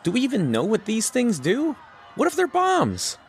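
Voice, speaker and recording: A man's voice: sits high, wildly swooping pitch, moderate pace, slight background noise, exaggerated voice. A man playing a jittery, wry, enthusiastic teenage boy in an audio drama. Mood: nervous.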